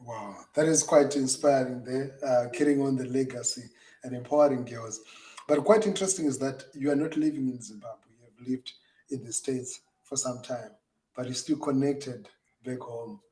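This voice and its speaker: A man's voice: mid-range voice, moderate pace, rough recording. A man hosting an online interview, asking questions with hesitant restarts and fillers. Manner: hesitant, repeats words, gets louder on welcomes. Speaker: show host.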